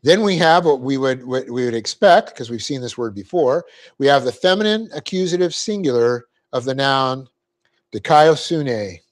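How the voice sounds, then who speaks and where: A man teaching a Bible class, explaining Greek grammar step by step, spelling out words and clearing his throat often. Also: medium pitch, expressive, moderate pace. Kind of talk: verse-by-verse Bible class lecture.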